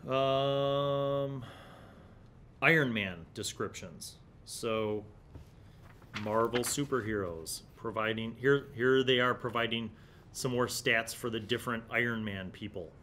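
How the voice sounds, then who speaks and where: An American man in his forties hosting a retro gaming review with relaxed, nostalgic, casual commentary. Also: slow. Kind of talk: casual commentary while leafing through an old magazine. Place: small indoor studio room. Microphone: large desk condenser microphone. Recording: clear low background rumble and noise.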